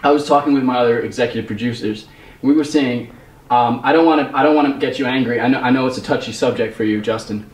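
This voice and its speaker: Young male, prank phone caller posing as producer. Halting, repetitive delivery, a bit distant from the mic. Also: medium pitch, slight background noise. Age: young adult. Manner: hesitant, repeats phrases. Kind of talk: improvised prank phone call. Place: small bedroom, indoors. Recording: roomy, slightly distant microphone.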